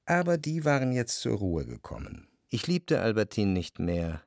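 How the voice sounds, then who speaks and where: An actor playing a jealous, brooding man recalling an obsessive love, in reflective, measured literary radio drama narration. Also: fairly low pitch, wildly swooping pitch, a little mellow, no echo, studio quality. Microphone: close dry studio microphone.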